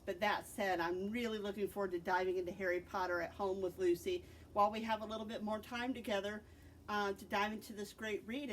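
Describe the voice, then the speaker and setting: A woman's voice: medium pitch, slight background noise, poor audio. A middle-aged American woman, a librarian host, gives informal book recommendations in an explanatory way, stressing key words.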